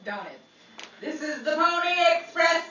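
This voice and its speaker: A woman's voice: high voice, big pitch swings, normal speed, lo-fi sound. A woman playing a sassy, sarcastic sleuth who tosses out theatrical quips in a 1940s-style radio comedy. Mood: dry teasing. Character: Betty, sassy wisecracking 1940s female sleuth. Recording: echoey, distant, noisy live room.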